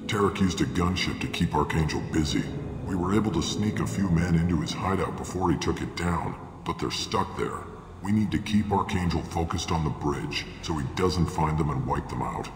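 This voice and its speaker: An actor playing a blunt mercenary logistics officer who briefs new hires in a matter-of-fact way. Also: male voice, rumbling low, moderate pace. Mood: briefing. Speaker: mercenary recruiter. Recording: echoey game mix with music.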